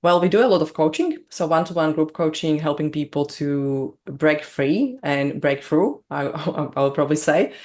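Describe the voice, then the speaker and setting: A woman from Slovakia with a Slovak accent, speaking English as a second language as a guest on an interview, bubbly and quick to laugh. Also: sits low, animated, darkish tone. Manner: bubbly, laughs often, non-native grammar. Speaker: business coach, guest. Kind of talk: casual interview chat.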